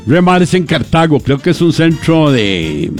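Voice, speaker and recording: Gravelly joke teller. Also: male voice, on the high side, sing-song, a little slow, faint music behind. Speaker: radio comedy show co-host and joke teller. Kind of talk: casual radio banter and joke telling.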